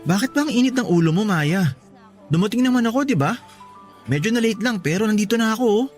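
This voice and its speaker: A high-voiced, fast-talking defensive boyfriend. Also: male voice, expressive, darkish tone, soft background music. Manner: defensive, excuse-making. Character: evasive young womanizing boyfriend, defensive and aggrieved. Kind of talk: Tagalog radio drama acting.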